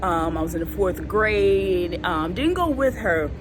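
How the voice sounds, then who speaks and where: A woman's voice: lowish voice, expressive, unhurried. A young woman casually telling a funny childhood story on her vlog, laughing and acting out voices. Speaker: vlogger telling personal stories. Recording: clear background noise, vehicle ambience.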